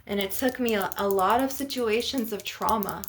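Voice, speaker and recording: A woman's voice: mid-range voice, moderate pace, a little hiss or hum. A woman in her forties speaking as a spiritual healer in an ASMR session, with an earnest, rambling, conversational way of guiding and musing. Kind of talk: guided visualization and informal spiritual monologue.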